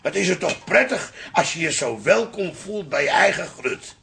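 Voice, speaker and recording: Gravelly, bright old working-class father. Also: sits high, expressive, normal speed, a little hiss or hum, heavily acted. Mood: jovial, grumbly. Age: older. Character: jovial, grumbly old working-class father and bar keeper. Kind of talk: naturalistic radio drama acting.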